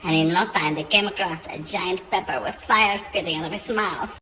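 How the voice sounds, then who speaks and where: Chatty woman storyteller, playful comic tale, lowish voice, clear background noise. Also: normal speed, pushed and bright. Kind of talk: comic improvised fairy-tale narration.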